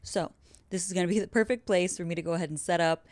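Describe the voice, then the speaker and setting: A woman's voice: on the low side, steady tone, quick pace, darkish tone, dry recording. A woman in her twenties hosting her own podcast, chatting casually and upbeat to her listeners. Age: 20s. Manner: chatty, upbeat, self-described yapper. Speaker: podcast host. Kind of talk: casual solo podcast intro.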